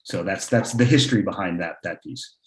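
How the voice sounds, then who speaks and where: A man's voice: sits low, fairly quick, a crowd in the background. Man on a panel, very echoey room. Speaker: playwright on a panel. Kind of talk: conversational panel discussion.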